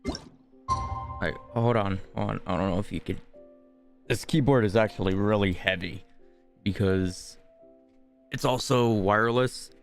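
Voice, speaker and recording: A man with a fairly low voice chats as he streams a game. His delivery is offhand and rambling, with game music faintly behind him. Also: a little slow, gravelly, long pauses. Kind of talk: casual gameplay commentary.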